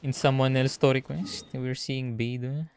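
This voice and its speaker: A man's voice: medium pitch, steady tone, normal speed, a little mellow, a little hiss or hum. A man from the Philippines, giving offhand, slangy live gaming commentary over game music. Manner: offhand, slangy, reacting aloud. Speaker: VTuber game streamer.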